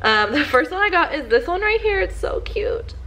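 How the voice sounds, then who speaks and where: A woman's voice: very high voice, big pitch swings, moderate pace, bright, forceful tone, a little hiss or hum. Young woman vlogger, casual haul chatter, talks to a camera in her living room. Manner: chatty, lots of "like" fillers. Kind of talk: casual shopping haul chat.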